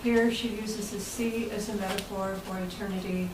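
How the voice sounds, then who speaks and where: Woman, medium pitch, literary reading, distant mic, noisy background. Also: steady tone, moderate pace. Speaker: guest reader at club meeting. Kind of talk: prepared reading of literary introduction and poem. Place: indoor meeting room. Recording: echoey room, distant mic, background noise.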